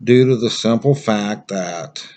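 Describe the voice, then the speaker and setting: Low-pitched American man, casual and blunt. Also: slow pace, darkish tone. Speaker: survival instructor reviewing gear. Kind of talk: casual unscripted product review.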